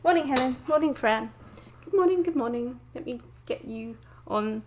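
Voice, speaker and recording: A woman from England, chatting casually to viewers on a live stream from her desk, croaky and sniffly with a cold, often laughing. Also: high voice, expressive, normal speed, a little mellow. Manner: chatty, giggly, greets viewers by name. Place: home desk indoors.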